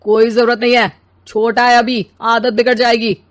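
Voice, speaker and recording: A woman's voice: fairly high pitch, flat delivery, moderate pace, close to an everyday voice. A woman voicing a grumpy, lazy, self-important husband and father who complains and makes demands in a story. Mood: grumbling.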